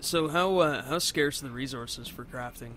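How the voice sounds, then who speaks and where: A man comments casually on a video game being played. He has an average-pitched voice and a dry, wry way of talking, with faint game ambience underneath. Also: moderate pace, fairly bright tone, a little hiss or hum. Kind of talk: casual chat over gameplay.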